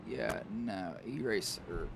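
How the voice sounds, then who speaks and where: Low-voiced Southwest Virginian man calling sim races. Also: expressive, slow pace, background noise. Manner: rambling, self-correcting, talks to himself between calls. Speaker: sim racing commentator. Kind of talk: play-by-play racing commentary.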